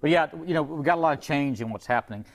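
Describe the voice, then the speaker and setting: A man's voice: on the high side, racing. A middle-aged man speaking as a car repair expert guest in an informal TV interview, explaining things in a folksy, conversational way.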